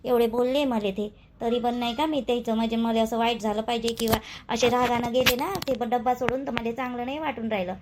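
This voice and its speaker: A woman's voice: on the high side, fairly even intonation, brisk, very noisy, natural voice. A woman playing a dutiful young village wife in a cartoon, plaintive and rambling, with a Vidarbha Marathi accent. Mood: troubled, tender. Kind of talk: homely cartoon drama dialogue.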